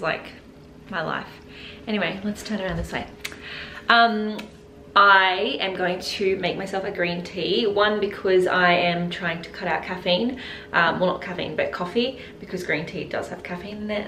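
A woman's voice: mid-range voice, bright, forceful tone. A woman chatting casually to camera as a health vlogger, recorded indoors at home. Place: indoors in a home kitchen and living room. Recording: roomy echo with clear background noise.

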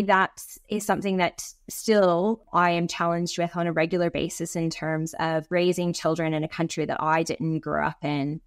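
An American woman in her thirties, a guest talking over a video call from a home office. She tells stories in a chatty, restarting way. Her voice sits a touch low and the sound is dry and close. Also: no echo. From United States. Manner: chatty storytelling, frequent restarts. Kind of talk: conversational interview.

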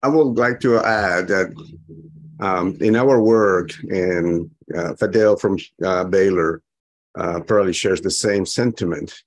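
A man in his fifties or sixties speaking in a measured, deliberate way as a panelist on a video call. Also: low-pitched. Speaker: physician panelist. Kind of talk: conversational panel discussion.

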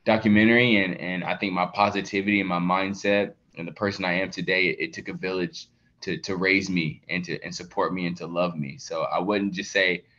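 A man's voice: low voice, steady tone, fairly quick, pushed and bright. A man in his thirties on a video call, answering interview questions earnestly, repeating words and using many fillers. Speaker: documentary subject, athlete. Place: indoor room with plain wall, video call. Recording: dull lo-fi video call audio.

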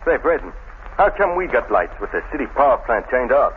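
An actor playing a wary, slangy gang member in 1940s radio style. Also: male voice, mid-range voice, very animated, a little fast, lightly acted. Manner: slangy gangster talk. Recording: tinny, very noisy old radio.

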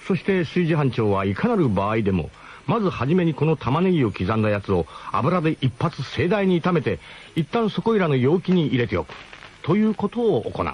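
A man's voice: on the high side, sing-song, brisk, a little mellow, a little hiss or hum. A man narrating a radio drama as a first-person comic story, in a mock-grand, theatrical way.

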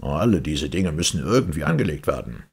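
A man's voice: very deep voice, big pitch swings, fairly quick, gravelly, husky, full character voice. A man voicing a pompous, blustering, childish storybook twin brother, scornful and self-important.

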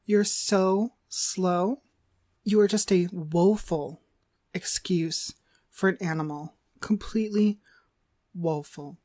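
A woman playing a cruel, domineering demonic dog trainer in an ASMR roleplay, haughty and scolding with drawn-out emphasis. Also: fairly low pitch, steady tone, warm tone, dry recording, studio quality. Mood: cold contempt.

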